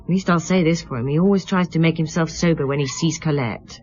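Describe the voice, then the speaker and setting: A woman's voice: deep voice, quick pace, darkish tone, lo-fi sound. A woman playing a defensive, impatient wife under police questioning in a radio drama, curt and exasperated. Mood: dismissive, exasperated. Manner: curt, exasperated, dismissive answers.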